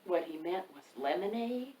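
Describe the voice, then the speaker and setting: Expressive woman storyteller in a roomy space. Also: lowish voice, moderate pace. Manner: addresses young listeners with questions. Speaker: author and storytime host. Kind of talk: children's picture-book read-aloud. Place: indoor room by a wood stove. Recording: roomy, distant mic, faint noise.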